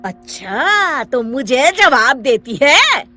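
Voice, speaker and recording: A woman playing a harsh but easily cowed stepmother in a sharp, nagging, theatrical manner. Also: high voice, very animated, very slow, a little hiss or hum, exaggerated voice. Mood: scolding.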